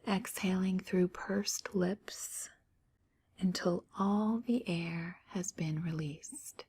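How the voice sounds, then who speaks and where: A woman guiding a relaxation meditation in a soft, near-whispered, calm voice with gentle pauses. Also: on the low side, husky. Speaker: meditation guide. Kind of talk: guided meditation.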